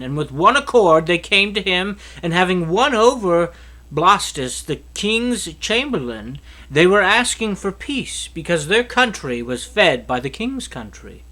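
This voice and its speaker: Male scripture narrator, lively and varied intonation, bright forward tone, expressive storytelling read. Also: sits high. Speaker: Bible reader.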